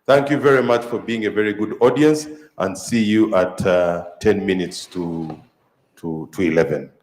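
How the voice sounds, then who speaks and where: Male conference moderator, low voice, halting delivery, distant mic in an echoey hall. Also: darkish tone. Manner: halting, many filler pauses. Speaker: session moderator.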